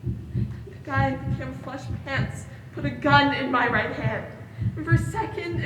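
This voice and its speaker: A woman's voice: high voice, lightly acted. A woman acting a traumatised daughter who recounts a hostage ordeal in a projected, dramatic stage monologue. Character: traumatised daughter recounting being held hostage with her father. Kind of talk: one-act stage play monologue. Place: indoor stage in a large reverberant hall. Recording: distant echoey hall, some background noise.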